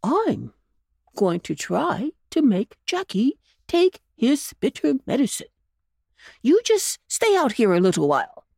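A woman voicing a kindly old gentleman rabbit in a storybook, speaking in a jolly, coaxing way. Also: a little high, wildly swooping pitch, slow, gravelly, husky, no room sound, clean studio sound, pitched above the performer's own voice, exaggerated voice.

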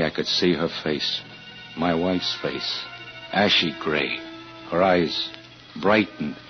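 Low male American, anxious, suspicious husband narrator, deliberate 1940s radio suspense style, dulled vintage recording. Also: lo-fi sound.

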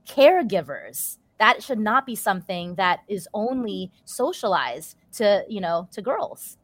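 A woman's voice: medium pitch, very animated, a little slow, no room sound. Articulate woman with a close, dry sound. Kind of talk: earnest panel discussion.

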